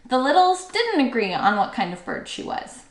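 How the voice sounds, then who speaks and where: A woman's voice: fairly high pitch, sing-song, moderate pace, echoey room. A woman teacher reading a children's story aloud as narrator.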